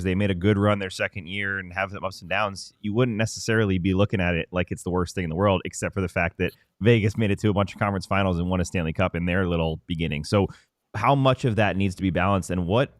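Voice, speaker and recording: Fast-talking man with a deep voice. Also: dry recording, studio quality. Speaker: podcast co-host. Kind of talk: casual sports podcast chat.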